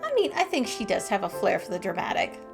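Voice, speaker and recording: A woman's voice: medium pitch, huge pitch swings, fast talker, gravelly. A woman streamer giving casual, lighthearted, chatty commentary while playing through a game. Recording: game music behind the voice. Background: game music.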